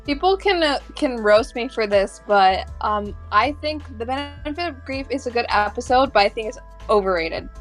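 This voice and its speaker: A woman with a slightly high voice shares opinions in a casual, halting way, often interrupting herself. Music plays softly behind her. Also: lively intonation. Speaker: podcast panelist.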